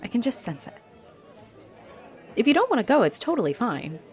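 A woman's voice: fairly high pitch, sing-song, normal speed, a little mellow, soft background music, some chatter behind. A woman playing a friendly, teasing, flirty female halfling orc adventurer in a roleplay audio addressed to the listener. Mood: warm, earnest. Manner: teasing, chuckling, coy. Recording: noticeable noise behind the voice.

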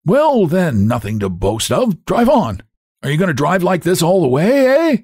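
A man's voice: on the high side, huge pitch swings, moderate pace, a little mellow, slightly rough, dry recording, studio quality, voice pushed up, heavily acted. A man voicing a bossy, jeering young hunchback in a storybook, with a cracked, quivering voice and impatient, abusive barking. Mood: indignant, bullying.

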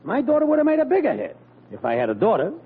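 A man's voice: high voice, wildly swooping pitch, very fast, breathy edge, heavily acted. A man with an American accent playing a grumbling, wisecracking, cautious working-class buddy in an old radio sitcom. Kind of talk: 1940s radio sitcom acting. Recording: tinny, noisy old radio recording.

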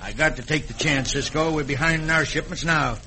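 An actor playing a folksy, plain-spoken American shipping superintendent in an old radio western. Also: male voice, fairly high pitch, fairly quick, background noise, natural voice. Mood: worried. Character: hearty, worried Old West shipping superintendent. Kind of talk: classic radio western acting. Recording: old radio recording with background voices.

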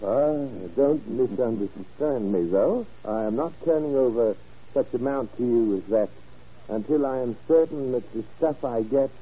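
An actor playing a courteous, formal businessman buying drugs in 1940s radio drama, speaking in deliberate, stilted polite phrases. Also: medium pitch. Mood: businesslike, guarded. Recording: muffled, husky old radio recording.

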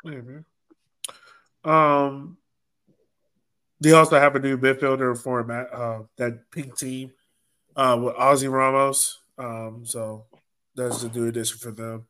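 Male podcast host, mid-pitched, informal sports talk, conversational delivery. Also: flat delivery, takes it slowly, long pauses, pushed and bright. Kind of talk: casual sports podcast discussion.

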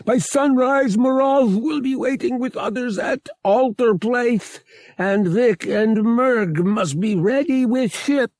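A man's voice: very high voice, slow, a little mellow, no echo, studio quality, voice pushed up, full character voice. A man voicing a huge, earnest, anxious cat-like alien in an audiobook, speaking in clipped, broken grammar. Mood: urgent, anxious. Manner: clipped broken grammar, third-person self-reference. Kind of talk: audiobook character voice.

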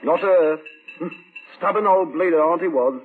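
An actor playing a blunt working-class garage owner with a London accent in an old radio drama, brash, chatty and sardonic. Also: male voice, fairly high pitch, unhurried, phone-quality sound, faint music behind, close to an everyday voice. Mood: sardonic, exasperated. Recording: thin, noisy old radio recording.

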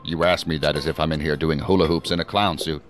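An actor playing a busy assistant director in an audio drama, with a wry, dry way of talking. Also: male voice, a bass voice, steady tone, fast talker, background noise. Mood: wry, affectionate. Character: busy male senior official, wry and domestic.

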